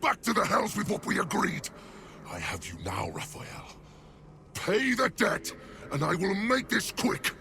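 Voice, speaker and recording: An actor playing a furious, brutish, vengeful devil hunter in a fantasy game, growling in explosive, snarling outbursts. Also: male voice, high voice, huge pitch swings, unhurried, creaky, pushed and bright, slight background noise, heavily acted. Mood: enraged. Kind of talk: theatrical fantasy game acting.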